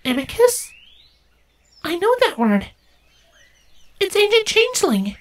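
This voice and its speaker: A man voicing a young female changeling creature in a storybook reading, earnest, brave and pleading, in a squeaky falsetto. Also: wildly swooping pitch, slow pace, heavily acted. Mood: awed.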